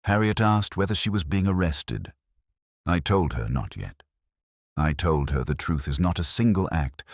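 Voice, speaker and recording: A man narrating a literary detective story in the first person, in a solemn, measured and brooding manner. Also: a bass voice, no room sound, studio quality.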